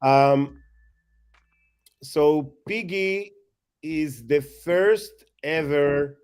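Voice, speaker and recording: A man chatting as a guest, excitable and rambling, self-mocking, with non-native English phrasing. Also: a little high, extremely slow, clear, resonant tone. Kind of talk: casual chat.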